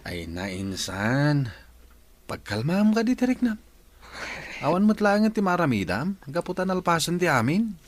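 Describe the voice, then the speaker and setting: An actor with an Ilocano accent playing a consoling male relative in a radio drama, expressive and soothing. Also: a little high, measured pace.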